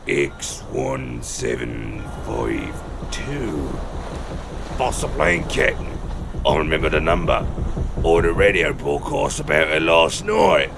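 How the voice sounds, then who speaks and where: An actor playing a gruff, indignant first mate on a fishing boat in an old-time radio drama. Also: male voice, very deep voice, very animated, slow, noisy background, full character voice. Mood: excited, alert. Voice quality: gravelly.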